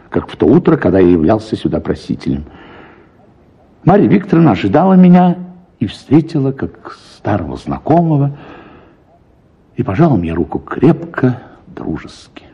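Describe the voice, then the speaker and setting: A man's voice: fairly low pitch, sing-song, moderate pace, breathy edge, highs cut off. A man reading first-person literary narration for a radio production, as an actor telling a story.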